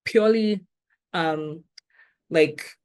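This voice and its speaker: A woman in her twenties from Ghana, with an accent that blends Ghanaian and British, reflecting thoughtfully as an interview guest and often pausing on "like" and "um". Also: sits low, darkish tone. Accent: blend of Ghanaian and British, now neutralized. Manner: thoughtful, with many "like" and "um" fillers.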